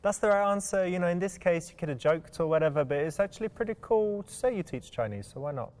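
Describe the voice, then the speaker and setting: A man giving calm dating advice as a coach. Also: high-pitched, quick pace. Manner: advising, calm explanation.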